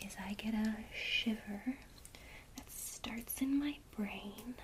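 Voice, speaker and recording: A woman whispering softly and breathily in a gentle, encouraging way, as a teacher in an ASMR one-to-one lesson. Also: a little high, slow pace, slight background noise.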